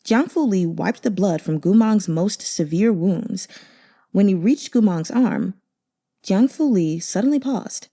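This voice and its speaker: A woman reading a novel aloud as an audiobook narrator, in expressive scripted storytelling. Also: medium pitch, measured pace. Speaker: audiobook reader.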